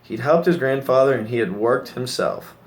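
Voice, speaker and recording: A man's voice: mid-range voice, normal speed. A man reading a children's story aloud as a narrator. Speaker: story reader.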